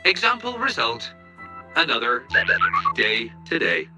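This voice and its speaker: A man's voice: medium pitch, sing-song, a little slow, bright, forceful tone, faint music behind, heavily acted. A man voicing an earnest, literal-minded helper robot in a video game, announcing things formally like a computer. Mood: formal, procedural.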